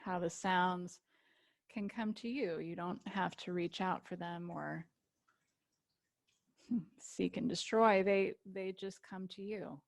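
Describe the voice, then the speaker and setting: Female yoga nidra guide, lowish pitch, very unhurried delivery, gentle and spacious phrasing for relaxation. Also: vocal fry. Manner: gentle invitations with long pauses.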